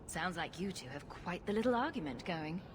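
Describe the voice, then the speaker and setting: Wry, low-voiced female rogue. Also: sing-song, normal speed, background noise. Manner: dry, sarcastic, direct. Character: wry, sarcastic adult female rogue hero. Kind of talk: fantasy video game acting.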